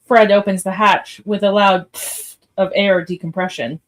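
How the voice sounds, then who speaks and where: A woman's voice: medium pitch, steady tone, moderate pace, soft background music. A woman reading stage directions as the narrator of a live script read.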